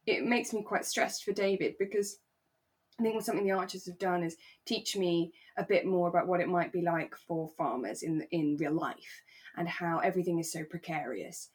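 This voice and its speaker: A British woman with a slightly low voice co-hosts a podcast. She talks in a relaxed, conversational style, as in an informal discussion between two people. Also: moderate pace. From England. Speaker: podcast co-host. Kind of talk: relaxed chat discussing a radio drama.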